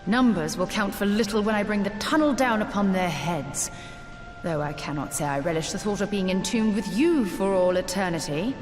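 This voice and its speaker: A woman's voice: medium pitch, normal speed, natural voice. An actress voices a stern, dry-witted lady mage from a video game. She speaks formally and resolutely in a mid-range voice. The sound rings in an echoing space, with music behind. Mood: grim, dry. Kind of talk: dramatic video game voice acting. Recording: echoey hall, tense music behind.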